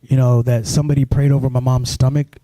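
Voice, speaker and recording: A man from Sudan tells his story in a very dark, mellow voice, stumbling over restarts and leaning on "you know" as he goes. The sound is dry and close, with no room around it. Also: mid-range voice, little pitch movement, fast talker, no echo. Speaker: guest. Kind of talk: personal storytelling interview.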